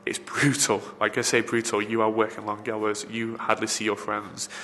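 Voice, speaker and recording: A man's voice: lowish voice, monotone, normal speed, bright, forceful tone. A man, a film location assistant, giving rambling interview answers full of fillers and restarts. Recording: reverberant room, faint background noise.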